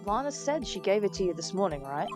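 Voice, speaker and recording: A woman's voice: medium pitch, sing-song, fast, over music, natural voice. A woman voicing an encouraging young assistant in a live fan dub of a game.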